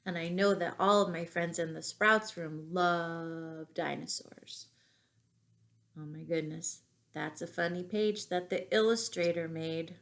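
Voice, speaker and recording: A woman reading stories aloud to young children, expressive, pausing to ask them questions. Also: lowish voice. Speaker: children's storytime reader and teacher.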